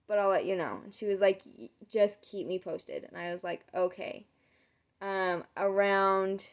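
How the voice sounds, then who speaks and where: A young woman vlogger with a hoarse, nearly lost voice, casually telling a long story to camera with lots of "um"s. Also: medium pitch. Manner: rambling, many "um"s, retells conversations. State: losing her voice, sore throat.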